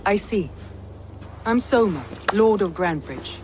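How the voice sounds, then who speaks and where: An actress voicing a proud, resolute Norse warlord in a video game cutscene, speaking in a measured, guarded way. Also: female voice, medium pitch, lively intonation, music in the background, close to an everyday voice. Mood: determined. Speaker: Norse lord of a city.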